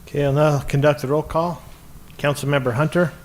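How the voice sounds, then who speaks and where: A man's voice: on the high side, steady tone, fairly quick, slight background noise. A man speaking formally as he runs a public meeting and moves through the procedure. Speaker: council meeting chair.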